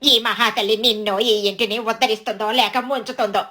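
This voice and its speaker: A woman's voice: a little high, steady tone, normal speed, bright, forceful tone. A woman voicing a scornful, domineering elderly mother-in-law in a story, complaining sarcastically. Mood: sarcastic, bitter.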